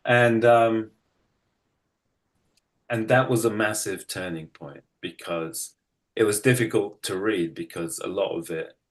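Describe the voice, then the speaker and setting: A middle-aged man with an English accent, telling his own story reflectively in a halting, thoughtful way, often circling back to rephrase. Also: lowish voice. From United Kingdom. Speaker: interview guest, writer. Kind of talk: reflective personal storytelling in conversation.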